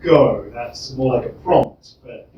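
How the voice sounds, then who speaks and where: A man's voice: medium pitch, slow. Man in a dull, echoey distant recording. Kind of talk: informal argument at a live discussion. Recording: dull, echoey room, distant mic, faint noise.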